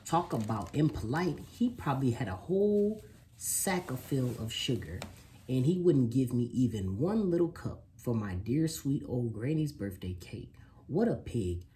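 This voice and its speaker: A reader voicing a sly, self-excusing wolf who tells his own story from a children's picture book in an animated, mock-innocent way. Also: medium pitch, a little slow, a little hiss or hum. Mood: self-justifying.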